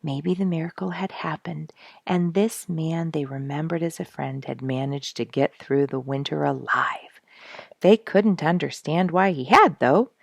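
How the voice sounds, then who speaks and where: A woman reading a children's animal story aloud in an expressive, dramatic storyteller's manner. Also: low-pitched, measured pace, gravelly, no room sound. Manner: dramatic, rhetorical questioning delivery.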